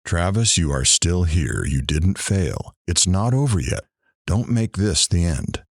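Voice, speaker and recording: An actor playing a calm, earnest radio talk host who speaks in a measured, reassuring, counselling way in an audio drama. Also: male voice, low-pitched, slightly rough, no echo, studio quality, full character voice.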